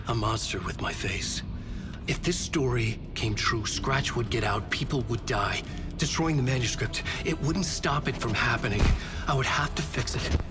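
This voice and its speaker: Loud music runs under an actor as a troubled male writer narrating his horror story for a video game. He speaks at a middle pitch in short, grave, brooding sentences, grim and determined. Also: natural voice.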